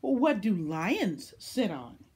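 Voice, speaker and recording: A woman's voice: medium pitch, very animated, drawn-out words, a little mellow, slight background noise, full character voice. A woman voicing a reluctant, fussy little frog from a storybook, plaintive and questioning. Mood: hopeful, questioning.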